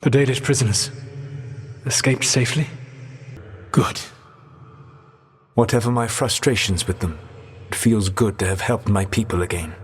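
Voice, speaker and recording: Ancient proud elf, brooding scholar, low husky voice, formal eloquent speech, video game dialogue, long reverb. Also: male voice. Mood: grave, reflective. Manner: measured, formal, eloquent diction. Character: ancient elven trickster god, proud, brooding, regretful scholar.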